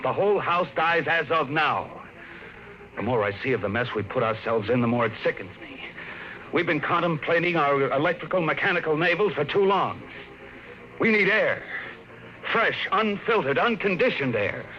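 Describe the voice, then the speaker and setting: A man's voice: fairly high pitch, animated, normal speed, background noise, natural voice. A middle-aged actor playing an anxious father of two in a 1950s radio drama, uneasy and questioning at first, then firm. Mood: resolute. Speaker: worried father in a radio play. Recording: muffled roomy 1950s radio broadcast.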